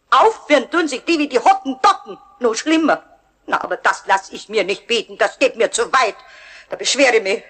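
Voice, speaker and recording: A woman's voice: fairly high pitch, fairly bright tone. An actress playing a snappish, indignant caretaker woman with a Bavarian accent in a radio play. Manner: scolding, indignant.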